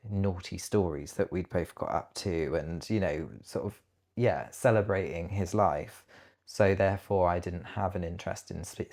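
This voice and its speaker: A man's voice: low voice, vocal fry, a little mellow. A British man in his thirties speaking as an interview guest, recounting personal experiences in a reflective, hesitant way.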